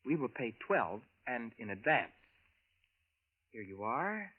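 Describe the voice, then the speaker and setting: A man's voice: mid-range voice, sing-song, slow pace, phone-quality sound. Formal time traveler, man, guarded, precise, 1940s radio drama, thin vintage recording. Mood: careful, evasive. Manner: careful, formal, precise, few contractions. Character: polite, secretive man visiting from the future.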